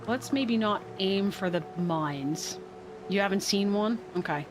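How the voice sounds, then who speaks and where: A woman's voice: medium pitch, lively intonation, measured pace. Woman streamer, casual running commentary, mid-range voice, game music behind. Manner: chatty, thinks aloud and answers chat. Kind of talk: casual live gameplay commentary.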